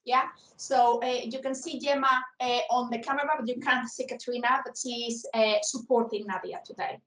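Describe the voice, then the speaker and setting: A woman chairs a video panel with a high voice and a hesitant, filler-heavy manner. She sits away from her mic, and a roomy echo surrounds her. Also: steady tone, moderate pace, a bit bright, distant mic. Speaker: session chair. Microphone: video call.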